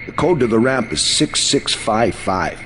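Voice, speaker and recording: A man's voice: medium pitch, sing-song, measured pace. An actor playing a friendly, conspiratorial working man offering help in a video game. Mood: eager, confiding. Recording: long reverb, music behind.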